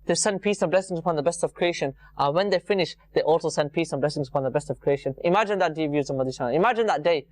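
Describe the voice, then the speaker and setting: A man's voice: fairly high pitch, rapid-fire, hardly any pauses, dry recording. A man giving an informal, earnest religious talk to viewers, repeating phrases for emphasis. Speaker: religious programme presenter.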